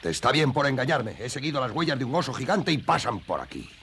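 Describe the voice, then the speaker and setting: A man playing a menacing, gruff bear hunter in a Spanish cartoon dub, with a bullying way of talking. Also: mid-range voice, very animated, fast, slight background noise. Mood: threatening. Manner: bullying, forceful. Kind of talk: animated cartoon dub acting.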